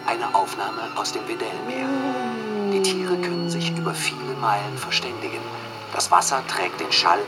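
An earnest nature documentary narrator in a radio drama. His delivery is solemn and wondering, with hugely swooping intonation in a mid-pitched voice. Loud music runs underneath. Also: big pitch swings, normal speed.

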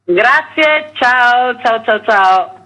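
A woman's voice: on the high side, lively intonation, drawn-out words, pushed and bright, soft background music, rough recording. A woman festival director giving interview answers and running through a programme. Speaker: festival artistic director, guest.

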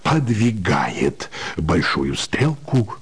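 A man's voice: deep voice, sing-song, drawn-out words. A man narrating a dramatised literary story as part of an audio play. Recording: loud music under the voice.

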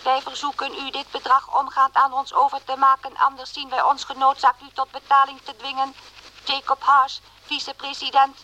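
High, monotone debt collector. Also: female voice, moderate pace. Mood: coldly formal. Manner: formal, monotone, mechanical. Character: cold, unyielding debt collection agency letter voice. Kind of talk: radio drama letter reading. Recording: muffled, noisy old radio recording.

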